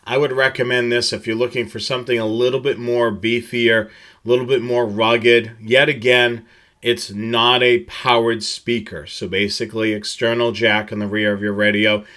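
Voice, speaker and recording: Man, bright and forceful voice, mid pitch, halting instructional delivery, slide-based product rundown. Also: flat delivery. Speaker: presenter of a live product tutorial. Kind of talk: semi-scripted slide presentation, explanatory product rundown.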